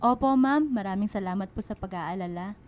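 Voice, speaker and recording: An actress playing a humble, hardworking Filipino mother and laundrywoman in a radio drama, gentle and polite. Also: medium pitch, brisk, a little hiss or hum, lightly acted. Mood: humble, grateful.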